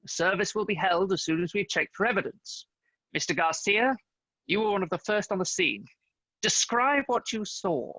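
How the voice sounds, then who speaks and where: A man acting a self-important, bumbling private detective in a comedy radio serial, with a pompous, deadpan delivery. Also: sits high, moderate pace, dry recording, heavily acted, rough recording. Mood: official.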